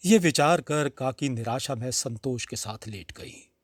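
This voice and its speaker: A man narrating a literary story in a measured, expressive, dramatic reading style. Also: medium pitch, no echo, studio quality.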